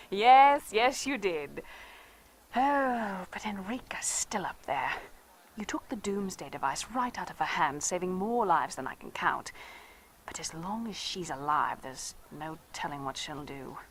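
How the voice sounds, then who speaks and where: An earnest woman fighter in a sci-fi game. Her voice sits slightly low and is openly emotive, with faint ambient noise behind it. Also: animated, measured pace. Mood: elated. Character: spirited, earnest female fighter in a sci-fi war. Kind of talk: dramatic game cutscene dialogue.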